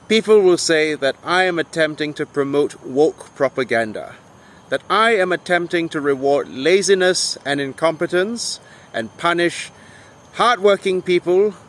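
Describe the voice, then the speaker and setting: A man delivering an argumentative monologue to viewers in an emphatic, deliberate way, with rhetorical questions. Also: on the high side, a bit bright, a little hiss or hum. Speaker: video essayist and English tutor.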